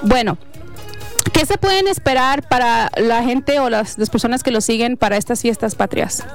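A woman's voice: on the high side, normal speed, soft background music. A woman hosting a casual interview, switching between Spanish and English in a chatty, teasing way. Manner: chatty and teasing, laughs often. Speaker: interview host.

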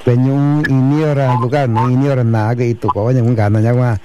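A man's voice: medium pitch, steady tone, brisk, lo-fi sound, background noise. A man hosting a bilingual community radio show, sending long lists of cordial greetings to listeners and switching into Mixtec. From Mixteca region of Oaxaca, Mexico. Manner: warm repetitive greetings, speaks in Mixtec too. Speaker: radio host. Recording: radio broadcast.

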